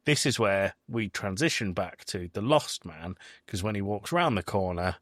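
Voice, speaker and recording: A man's voice: on the low side, animated, normal speed, a bit bright, dry recording, clean studio sound. A man hosting a podcast, recapping a film in casual discussion and often repeating words as he thinks. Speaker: podcast host.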